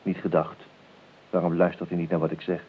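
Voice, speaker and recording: Actor as deep-voiced, hungover, melodramatic gentleman on old radio. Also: completely flat delivery, natural voice. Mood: lyrical, peevish. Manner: halting, fussy, theatrically weary. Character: grumbling, hungover, melodramatic late-sleeping gentleman, lyrical and self-pitying. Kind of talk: Dutch radio play acting. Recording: narrow, hissy old radio recording.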